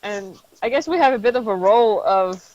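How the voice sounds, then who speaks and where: A woman in her fifties shares reflective anecdotes on a podcast panel, speaking at an ordinary pitch. Her voice comes through a dull, lo-fi connection. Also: expressive, fairly quick, darkish tone, dry recording. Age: 50s. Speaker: podcast guest. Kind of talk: casual panel chat. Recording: dull lo-fi sound.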